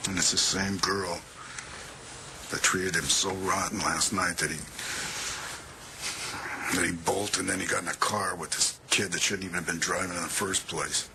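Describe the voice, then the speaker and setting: An actor playing a middle-aged, worried father in a television drama, speaking in a subdued, halting, confiding way. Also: low-pitched, steady tone, creaky. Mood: weary, helpless. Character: weary, worried father of a teenage son. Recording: very loud background noise.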